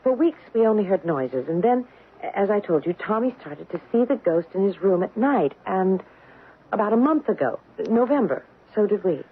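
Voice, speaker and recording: A woman's voice: medium pitch, very animated, normal speed, husky, phone-quality sound. An actress playing a frightened, anxious young wife and mother in an old radio drama, nervous and hesitant. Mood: anxious, earnest. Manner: nervous, hesitant, repeating words. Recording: band-limited, noisy old radio recording.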